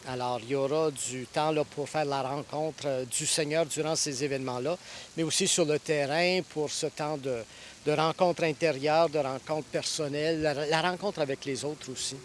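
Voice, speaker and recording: A priest in his sixties from Ontario answers questions at an easy, reflective pace, often pausing with "euh" and restarting phrases. His voice sits fairly high for a man. A faint hiss runs under the recording. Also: steady tone. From Ontario, Canada. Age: 60s. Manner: thoughtful, with frequent hesitations and restarts. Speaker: priest and guest preacher. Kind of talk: interview answers.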